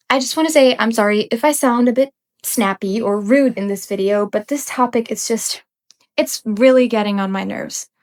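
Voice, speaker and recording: Emphatic young woman ranting, slightly congested. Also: on the high side. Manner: emphatic and blunt, with occasional laughs. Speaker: podcast host. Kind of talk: unscripted ranting commentary.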